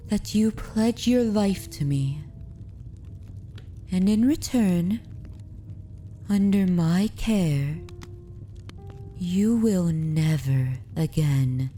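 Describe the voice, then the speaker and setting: Woman doing a dominant but tender vampire mistress, asmr style, super slow n soft, dark mellow voice, very formal. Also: sits low, full character voice. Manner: slow, deliberate, formal and courtly. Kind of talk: ASMR roleplay, soft intimate delivery with whispers.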